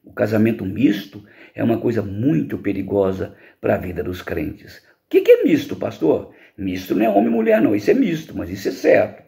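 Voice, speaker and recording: Brazilian male, middle-aged, youth Bible teacher: gravelly, expressive, didactic, informal. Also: on the low side, a little fast, few pauses. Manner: didactic, emphatic, asks rhetorical questions and answers them. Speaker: pastor teaching a lesson to teens.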